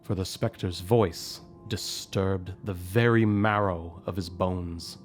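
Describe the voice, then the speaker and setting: An actor as a measured, grave narrator of an audio drama, telling the story with suspense. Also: male voice, deep voice, steady tone. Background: music.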